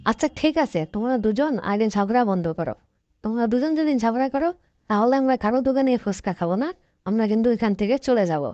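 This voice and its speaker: Young fox wife for a cartoon, female performer: chatty, coaxing, high voice, dry sound. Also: lively intonation, quick pace, mellow, no echo, lo-fi sound, natural voice. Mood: assertive. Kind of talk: cartoon story acting.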